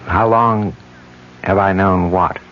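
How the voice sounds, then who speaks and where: Brief: weary cop and husband, low-key, old radio sound. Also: male voice, fairly even intonation, takes it slowly, slight background noise. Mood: somber. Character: weary male police detective, quietly loyal husband. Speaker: police detective. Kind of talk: 1970s radio drama acting. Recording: dull lo-fi 1970s radio recording.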